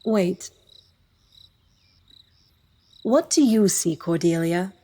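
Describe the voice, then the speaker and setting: A woman's voice: fairly low pitch, lively intonation, measured pace, mellow, slightly rough. An actress playing a fond, wise elder woman in a fantasy audio drama. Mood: amused, urging.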